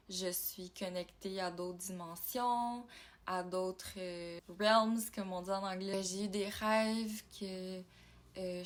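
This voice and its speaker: A woman from Ontario, talking in a casual personal vlog, reflective and halting as she thinks aloud. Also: mid-range voice. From Ontario, Canada. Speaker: YouTuber.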